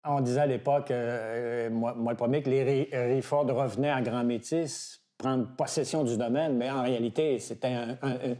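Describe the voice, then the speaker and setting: Man, interviewer, mellow voice, relaxed, chatty. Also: medium pitch, moderate pace, hardly any pauses. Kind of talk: casual interview conversation.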